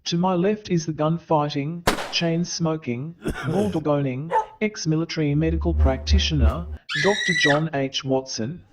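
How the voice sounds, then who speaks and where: A man playing a theatrical owl narrator who hosts a comic stage play with grandiloquent, wry, deliberate delivery. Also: fairly high pitch, very noisy, lightly acted. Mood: grandly theatrical. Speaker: narrator and host.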